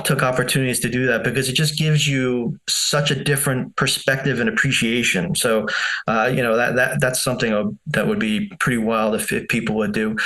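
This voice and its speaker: A male podcast host with a mid-pitched, ordinary voice asks his questions thoughtfully. He hesitates and restarts as he works his way toward each point. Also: a bit bright, low-quality recording. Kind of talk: casual interview talk.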